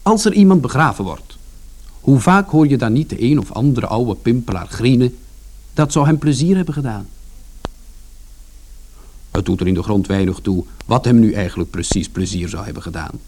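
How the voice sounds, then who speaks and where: A man's voice: mid-range voice, animated, normal speed, long pauses, darkish tone, slight background noise, lightly acted. An actor playing a plain-spoken working-class son who tells his story in a colloquial, confiding first-person radio drama narration. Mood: reflective, chatty.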